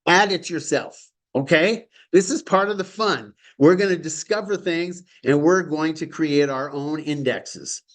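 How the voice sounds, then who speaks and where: A man with a slightly higher voice leading an online study class in a loose, rambling way. He sounds enthusiastic and keeps breaking into chuckles. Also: measured pace. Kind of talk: informal lecture on a call.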